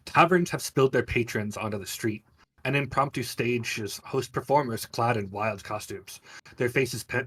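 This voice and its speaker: A man's voice: on the low side, slightly rough, low-quality recording. A man narrating a tabletop fantasy game as game master, setting scenes in a vivid, theatrical storyteller's way.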